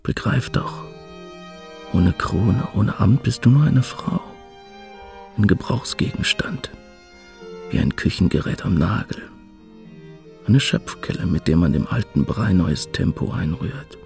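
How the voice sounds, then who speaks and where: A man playing a proud, lovesick nobleman in a German radio play, speaking intensely in deliberate phrases. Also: on the low side, animated, creaky, a little mellow, soft background music. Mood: bitter, scornful. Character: lovesick, proud young nobleman suitor to a queen.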